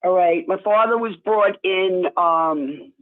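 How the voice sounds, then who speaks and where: Older New York woman on a phone line. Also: fairly low pitch. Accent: New York, Long Island. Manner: slow, unhurried storytelling. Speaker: guest. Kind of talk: telling her life story. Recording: phone-like call audio.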